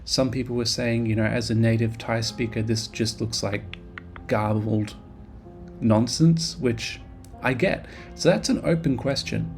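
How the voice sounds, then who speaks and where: A man with a gravelly, mid-pitched voice talks through his game project in a loose, rambling way. He is self-deprecating and fills pauses with ums. Soft music plays faintly underneath. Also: fairly even intonation. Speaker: game developer vlogger. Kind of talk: casual devlog narration over screen recording.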